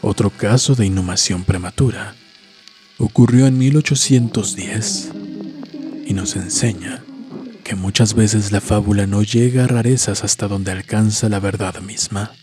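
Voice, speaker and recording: A man reading a horror story as a narrator, solemn and measured, with dramatic pauses. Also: lowish voice, fairly even intonation. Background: background music.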